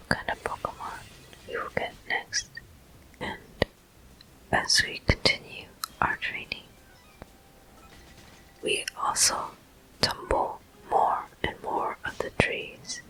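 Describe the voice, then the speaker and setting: A person whispering ASMR game commentary as a walkthrough host, in slow, unhurried phrases with pauses, close to the microphone. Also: bright, forceful tone, poor audio.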